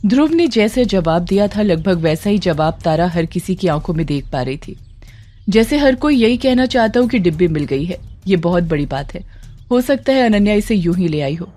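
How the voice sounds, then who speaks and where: A woman's voice: lowish voice, expressive, normal speed, mellow. A woman narrating a scripted horror audio story in a dramatic storytelling style. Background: music under the voice.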